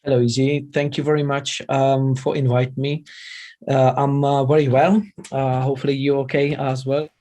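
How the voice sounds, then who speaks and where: A middle-aged man speaks with a Czech accent at an average pitch. His tone is dark and relaxed, with little edge to it. He talks as a guest in a casual interview, in halting second-language English with broken phrasing. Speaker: interview guest, vegan chef and former radio presenter.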